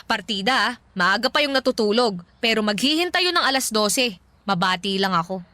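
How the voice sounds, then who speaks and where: A woman's voice: medium pitch, fairly quick, dry recording. A woman playing a playful, pushy young matchmaker in a radio drama, teasing and giggly. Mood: persuasive, upbeat. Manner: teasing, giggly, animated, persuasive. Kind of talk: Filipino radio drama dialogue.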